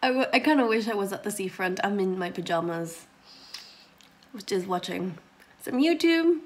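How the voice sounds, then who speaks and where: A woman in her twenties chatting casually to her phone in a small bedroom, full of "like" and little giggles, her voice a bit croaky. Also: mid-range voice, lively intonation, moderate pace. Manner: chatty, lots of "like", giggly asides. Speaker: vlogger. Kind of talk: casual vlog chat. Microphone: phone held at arm's length.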